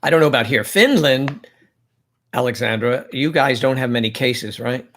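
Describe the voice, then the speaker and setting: A man's voice: mid-range voice, normal speed, a bit bright. Chatty man hosting a livestream. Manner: rambling, chatty, reads viewer comments aloud. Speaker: livestream host. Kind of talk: casual livestream chat.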